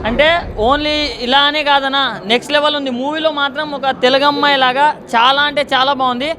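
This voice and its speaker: A man with a strikingly high voice speaks quickly in an excited public reaction. He is effusive and emphatic, circling back to repeat his praise. A busy outdoor crowd surrounds him. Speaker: moviegoer giving a film reaction.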